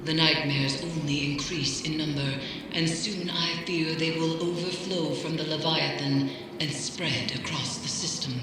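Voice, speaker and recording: An actress playing a solemn, haunted mystic who guides warriors in a game, speaking in a grave, measured, formal way. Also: female voice, low voice, fairly even intonation, heavy reverb, music in the background, rough recording. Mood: solemn resolve. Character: solemn, haunted mystic woman guiding warriors against nightmares. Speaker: mission guide. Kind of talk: scripted game dialogue.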